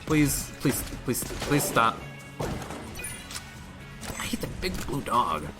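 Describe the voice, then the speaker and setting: A man commentating his own game stream, chatting casually and reading viewer comments aloud, his voice a little hoarse today. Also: medium pitch, very animated, slow pace, music in the background. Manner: chatty, reads chat aloud, musing. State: slightly hoarse.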